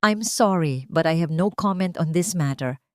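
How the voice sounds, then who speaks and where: A woman playing a composed, wronged wife in a drama story, calm and professional, with a Filipino accent. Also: fairly low pitch, normal speed, no room sound, studio quality, natural voice. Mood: calm, guarded.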